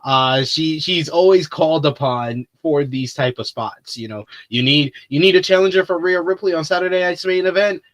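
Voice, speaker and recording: Bright, theatrical man hosting a wrestling show. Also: on the high side, normal speed, hardly any pauses. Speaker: host of a wrestling preview show. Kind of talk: animated wrestling talk show commentary.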